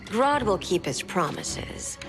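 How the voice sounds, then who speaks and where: A woman's voice: medium pitch, very animated, slow, music in the background. A woman playing a cool, haughty eco-villain in a video game, disdainful and self-assured.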